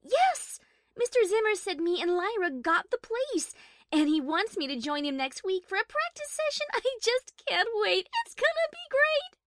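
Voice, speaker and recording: A woman plays a genteel, bashful young pony musician in an audio story. The voice is extremely high and girlish, with lively swings in pitch and a polite, earnest manner. It is recorded dry and close. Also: normal speed, dry recording, exaggerated voice. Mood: giddy excitement. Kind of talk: acted audio story dialogue.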